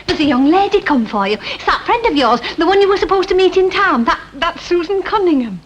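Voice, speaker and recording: A woman's voice: very high voice, big pitch swings, a little fast, lo-fi sound. An actress playing a fussing, devoted middle-aged working-class mother with a Northern English accent in a 1960 television drama. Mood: friendly, excited. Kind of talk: 1960s British television drama acting. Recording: noisy 1960 television soundtrack.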